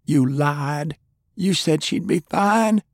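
A man voicing a young girl, high, accusing, upset, insistent, audiobook character, dry recording. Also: slow pace, no echo. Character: upset, feisty young girl, demanding answers.

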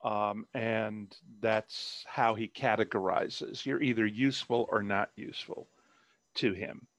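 A man's voice: lowish voice, fairly even intonation, measured pace, no echo. Rambling man on a video call. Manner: rambling, many fillers and restarts. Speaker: author. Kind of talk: casual interview chat.

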